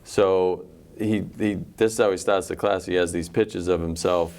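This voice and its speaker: A man who works at the high school, describing a college course with halting enthusiasm. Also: steady tone, a little mellow, smooth. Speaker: high school educator guest. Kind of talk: casual chat.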